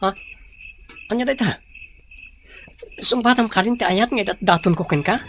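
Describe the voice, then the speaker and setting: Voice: mid-range voice, moderate pace, natural voice. An actor playing an ardent young male suitor, eager and apologetic, in a radio drama with an Ilocano Filipino accent. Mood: eager, courting. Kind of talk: Philippine radio drama acting.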